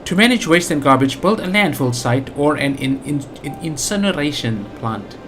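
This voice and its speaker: A man's voice: fairly high pitch, animated, normal speed, fairly bright tone, a little hiss or hum. A man with a South African accent giving casual let's play commentary, thinking aloud with lots of fillers and restarts. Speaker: gaming channel host.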